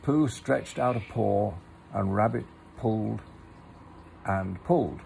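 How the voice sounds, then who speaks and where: A man's voice: fairly low pitch, slow pace, gravelly, darkish tone, a little hiss or hum. A man narrating a children's story aloud, with explanatory asides to the listener. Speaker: storybook reader.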